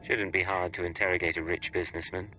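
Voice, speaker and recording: An actor playing a cool, confident secret agent in a video game, terse and smoothly self-assured. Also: male voice, low voice, little pitch movement, fast talker, pushed and bright, soft background music, poor audio. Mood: businesslike.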